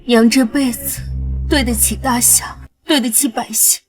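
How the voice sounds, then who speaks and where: Casting: woman playing upright, authoritative young heroine for historical drama, high voice, light music behind. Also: fairly even intonation, a little slow, lightly acted. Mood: sorrowful, tender. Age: young adult. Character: stern, righteous young warrior woman with motherly authority. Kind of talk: Chinese period drama acting.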